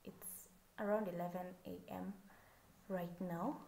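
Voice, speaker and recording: A woman in her twenties with a Kenyan accent talks straight to camera in a relaxed, chatty way, a touch nervous and full of "so yeah" fillers. Her voice is mellow and soft-edged. The sound bounces around a small room, with a faint hiss behind it. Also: lowish voice, slow pace, vocal fry, reverberant. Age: 20s. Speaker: vlogger. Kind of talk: casual self-introduction to camera.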